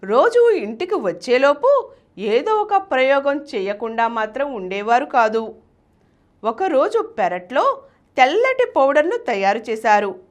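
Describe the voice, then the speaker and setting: High-pitched, very expressive woman narrator. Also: a little slow. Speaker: host and reader of a business success story show. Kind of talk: scripted storytelling narration.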